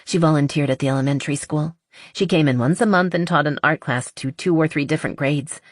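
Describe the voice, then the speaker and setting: A woman's voice: low voice, brisk, no room sound, clean studio sound. A woman voicing a cheerful, artsy, chatty young woman in an audiobook romance. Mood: warm, explaining. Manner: chatty and enthusiastic. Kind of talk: audiobook character dialogue.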